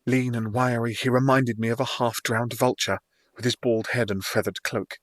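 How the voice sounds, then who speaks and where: A man's voice: mid-range voice, level tone, moderate pace, fairly bright tone, no room sound, clean studio sound. A man reading scripted fantasy fiction as a dramatic first-person audiobook narrator.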